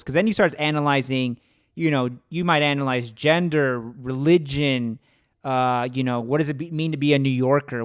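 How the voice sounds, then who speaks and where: A man in his thirties with a Chicago accent, hosting a casual podcast conversation, animated, laughing often and restarting his sentences. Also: mid-range voice, smooth, dry recording, clean studio sound. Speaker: podcast host.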